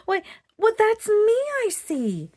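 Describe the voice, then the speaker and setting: A woman's voice: very high voice, huge pitch swings, takes it slowly, mellow, no room sound, clean studio sound, pitched above the performer's own voice, heavily acted. A woman voicing a tiny young female winged horse in a children's storybook, sad and earnest as she searches for who she is. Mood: excited. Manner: plaintive, earnest.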